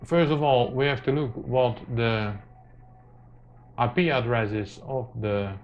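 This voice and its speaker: A man walks through a tech tutorial at a slow, halting pace, often stopping to hesitate. His delivery is informal and hands-on. The recording is dull and lo-fi, with music in the background. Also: medium pitch. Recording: muffled lo-fi mic, music behind.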